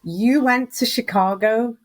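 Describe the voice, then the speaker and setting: A woman's voice: a little high, unhurried. A woman, a guest telling her career story in a chatty way and laughing often. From Wales. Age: 30s. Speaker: guest, recruitment business founder. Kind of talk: casual interview chat.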